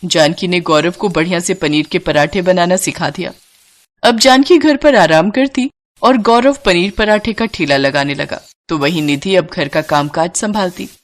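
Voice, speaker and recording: A woman narrating a scripted moral story in an expressive storyteller's style. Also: lowish voice, normal speed.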